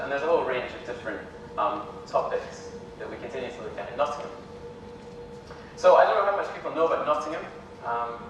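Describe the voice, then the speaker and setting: Hesitant Scottish man lecturing in an echoey hall. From Scotland. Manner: relaxed, hesitant with frequent ums. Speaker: visiting lecturer. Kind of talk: academic lecture. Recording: echoey hall from far off, with rumble.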